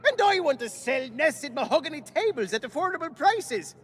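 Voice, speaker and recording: An actor voicing a silly, stubborn sidekick with humble dreams in a video game, childish and exclamatory. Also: male voice, extremely high pitch, sing-song, normal speed, a bit bright, long reverb tail, full character voice. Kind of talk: video game character acting.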